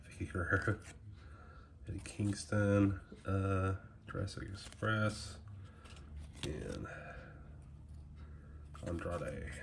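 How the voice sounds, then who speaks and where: A man's voice: low-pitched, gravelly. A man with a head cold and a nasal voice, chatting casually as he opens packs of trading cards at a table, reading names off them. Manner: relaxed, rambling, reads names off cards. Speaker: card collector unboxing trading cards. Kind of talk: casual unboxing commentary. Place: indoors at a small table, close to the camera. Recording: loud crinkling wrapper noise.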